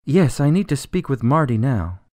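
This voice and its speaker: A man's voice: medium pitch, sing-song, moderate pace, a little mellow, no echo, clean studio sound, close to an everyday voice. A man playing a desperate, exasperated jailed politician in a radio drama, insistent and testy. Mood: testy, urgent.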